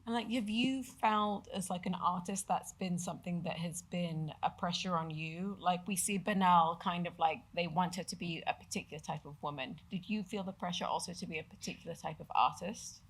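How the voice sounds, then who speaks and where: A woman's voice: fairly low pitch, fairly quick, few pauses. A woman from Sudan asking a film director questions casually, with many fillers. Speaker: interviewer. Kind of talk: interview questions.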